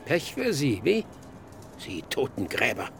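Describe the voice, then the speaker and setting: An actor playing a mocking, morbid, cynical ship passenger in a radio drama, sneering and theatrical with a creaky voice. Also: male voice, mid-range voice, wildly swooping pitch, measured pace, background noise, full character voice. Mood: taunting.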